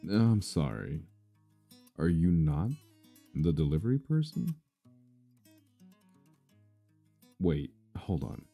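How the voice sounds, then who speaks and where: A blunt werewolf alpha with a very deep, dark, gravelly voice. He talks in a plain, businesslike way. Soft guitar plays behind him. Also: heavily acted. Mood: confused. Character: gruff werewolf alpha man, auto shop owner. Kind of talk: audio drama roleplay.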